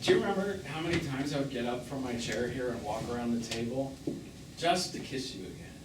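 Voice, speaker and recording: A man's voice: medium pitch, fairly quick, close to an everyday voice. Echoey, distant actor as wounded middle-aged man. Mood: wistful, mournful. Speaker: stage actor. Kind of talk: live stage drama acting. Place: small theatre, recorded from a distance. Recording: echoey, noisy stage performance recorded from afar.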